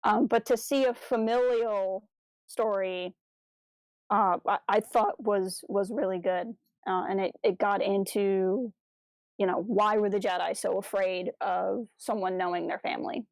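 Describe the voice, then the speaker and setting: A woman's voice: mid-range voice, steady tone, a little slow, a little mellow, dry recording, poor audio. A woman co-hosting a podcast in casual discussion, thinking aloud in hesitant, broken phrases. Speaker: podcast co-host. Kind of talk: casual discussion of a fan audio drama review.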